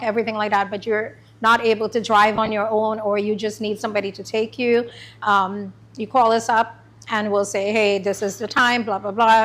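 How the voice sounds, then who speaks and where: A middle-aged woman from Trinidad and Tobago giving a chatty, informal talk about her business to a small group, with many pauses and ums. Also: a little high. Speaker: small business owner presenting. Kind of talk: informal pitch presentation. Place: meeting room.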